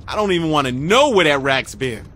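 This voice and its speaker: A flippant, lively wisecracker. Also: female voice, low voice, fairly quick, heavily acted. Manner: irreverent, casual. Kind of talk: casual banter in a video game. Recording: noisy game sound behind voice.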